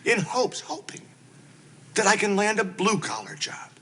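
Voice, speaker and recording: An actor playing a self-pitying husband who swings from whining to shouting in a comedy scene. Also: male voice, high voice, big pitch swings, unhurried, breathy edge, full character voice. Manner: melodramatic, whining then shouting. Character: self-pitying, explosive middle-aged husband.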